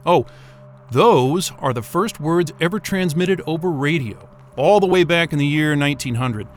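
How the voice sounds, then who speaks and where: An actor playing an irritable, excitable crewman with a sarcastic, profane streak in a science-fiction audio drama. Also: a little high, moderate pace, a little hiss or hum, natural voice. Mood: wry. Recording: music bed behind the voice.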